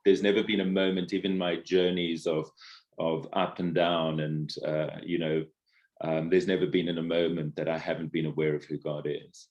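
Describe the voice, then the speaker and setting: Deep-voiced man, reflective. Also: flat delivery, normal speed, a little mellow, dull audio. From South Africa. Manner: reflective, conversational, many fillers and restarts. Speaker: podcast guest. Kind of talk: casual interview conversation.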